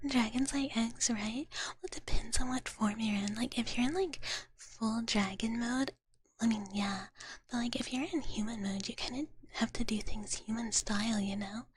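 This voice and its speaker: Woman whispering softly in breathy ASMR. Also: fairly high pitch, steady tone, moderate pace. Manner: playful, cutesy big-sister persona speaking of herself in third person. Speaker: streamer. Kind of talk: live whispered ASMR stream, casual chat with viewers. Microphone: close ASMR microphone.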